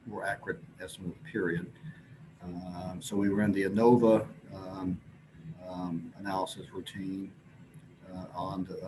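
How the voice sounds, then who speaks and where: A man presenting scientific slides informally, explaining in a halting, hesitant way with pauses. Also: deep voice. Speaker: presenter at an astronomy symposium. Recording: clearly noisy recording with background ambience.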